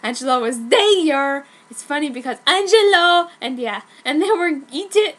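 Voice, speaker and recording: A woman's voice: sits high, expressive, normal speed, fairly bright tone. A woman giving a comic dramatic reading of fan fiction in a mock-dramatic, exaggerated manner. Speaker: amateur fan fiction reader.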